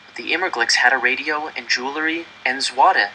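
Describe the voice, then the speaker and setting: Bright, forceful male story narrator. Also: mid-range voice, a little fast, a little hiss or hum, low-quality recording. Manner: dramatic, tense storytelling. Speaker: audiobook reader. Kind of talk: scripted first-person story narration.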